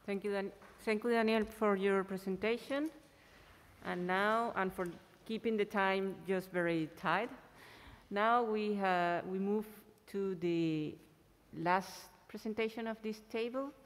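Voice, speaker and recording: A woman chairing a conference session, briskly introducing the next speaker with some hesitant fillers. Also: mid-range voice, a little hiss or hum. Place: conference hall, at a panel table. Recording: long reverb of a large hall.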